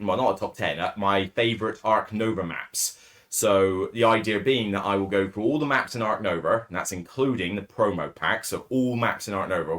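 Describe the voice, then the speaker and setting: A man with a raspy, hoarse voice, hosting a solo podcast in casual, rambling talk full of fillers and self-corrections. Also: lowish voice. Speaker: podcast host. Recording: distorted, too-loud mic.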